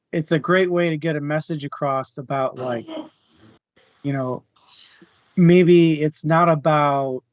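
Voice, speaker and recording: A man's voice: fairly high pitch, no room sound, highs cut off. A man hosting a casual book discussion, talking informally as he works through his thoughts. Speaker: discussion host.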